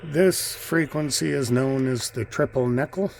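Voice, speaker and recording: A man giving casual, off-the-cuff commentary as a radio hobbyist, in a halting, musing way. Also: mid-range voice, vocal fry, darkish tone. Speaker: radio hobbyist narrating a video. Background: shortwave radio noise.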